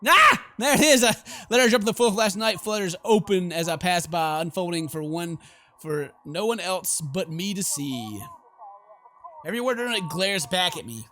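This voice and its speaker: A man's voice: high voice, expressive, moderate pace, bright, forceful tone. A man hosting a horror game let's play, reading the story aloud and reacting in a theatrical, exclamatory way. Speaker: gaming video host.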